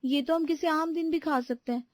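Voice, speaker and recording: A woman's voice: high-pitched, fairly even intonation, fairly quick, a little mellow, no room sound, close to an everyday voice. A woman voicing an outspoken younger daughter-in-law in a family story, complaining and pleading her case. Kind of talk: animated Hindi family story dialogue.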